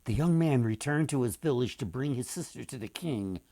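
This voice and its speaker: A man reading a folktale aloud as its author, in an expressive storytelling style with deliberate, dramatic pauses. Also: medium pitch, dry recording.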